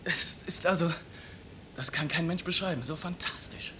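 A man's voice: fairly high pitch, normal speed, bright, forceful tone, a little hiss or hum. An actor playing an obsessed, agitated male computer addict in a German radio play, defiant and overwrought. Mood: defiant, rapt.

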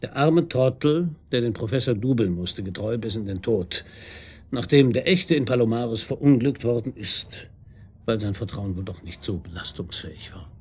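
An actor in a German radio drama playing a wry, sardonic chief detective who questions in a colloquial, insinuating way. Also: male voice, mid-range voice, expressive, moderate pace, a little mellow, slightly rough. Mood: needling. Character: wry, probing, needling male chief police detective.

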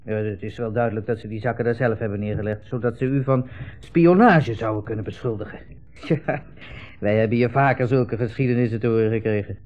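A man's voice: fairly low pitch, steady tone, brisk, lightly acted. An actor playing a smug, insinuating army captain in a 1960s radio play, hemming as he puts condescending, leading questions. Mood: smug coaxing. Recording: muffled old radio recording, narrow band.

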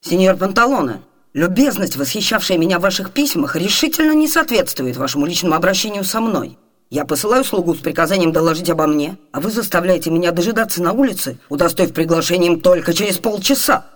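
Radio play role, actress: cool, haughty young gentleman in disguise, formal diction, expressive melodic swings. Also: lowish voice, fast. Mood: haughty, offended. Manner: formal, poised, imperious. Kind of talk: theatrical radio play acting.

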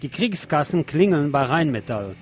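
A man's voice: on the high side, sing-song, normal speed, faint music behind. A man presents scripted news as a commentator, adding personal asides.

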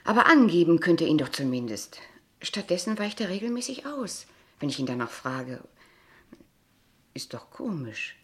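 A woman playing a polite but insistent, quietly disapproving kindergarten teacher in a radio play, asking formal, probing questions. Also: lowish voice, sing-song, normal speed. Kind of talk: dialogue radio play acting in standard German.

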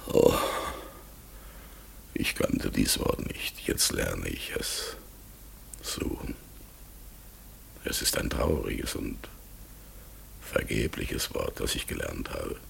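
An actor in a radio play playing an anguished outcast talking raven, raspy and breathy, speaking in a halting, lamenting way. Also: male voice, extremely low pitch, a bit bright, slight background noise, heavily acted. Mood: anguished, mournful. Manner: halting, heavy, lamenting delivery. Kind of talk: German radio play acting.

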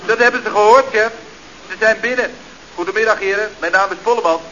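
A man's voice: high voice, fast talker, sharp tone. An actor playing a chatty, slangy youth-club leader who reports deferentially and glibly to his boss in a radio comedy. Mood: reporting. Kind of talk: Dutch radio comedy acting. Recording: dull, noisy old radio recording.

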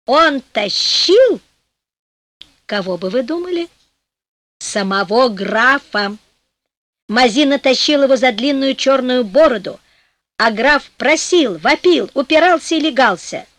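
A woman narrating a fairy tale for an audiobook, reading with lively expression and changing her voice for the characters. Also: no room sound. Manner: voices characters with shifts in pitch and pace.

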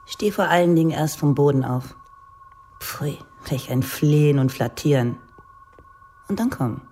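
An actress playing a strict young schoolmistress in a radio drama, curt and imperious. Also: female voice, deep voice, measured pace, warm tone, a little hiss or hum. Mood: curt, scornful. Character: stern, commanding young lady schoolmistress.

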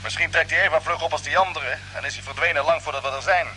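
An actor playing a brisk, commanding captain of a Mars expedition in a vintage radio drama. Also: male voice, fairly high pitch, pushed and bright. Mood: businesslike. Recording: noisy old radio play recording.

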